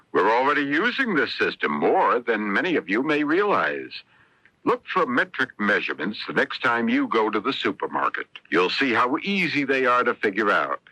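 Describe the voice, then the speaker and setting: Bright, husky male radio announcer. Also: lowish voice, dry recording, low-quality recording. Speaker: public service announcer. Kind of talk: scripted explanatory radio announcement. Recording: dry 1970s radio broadcast.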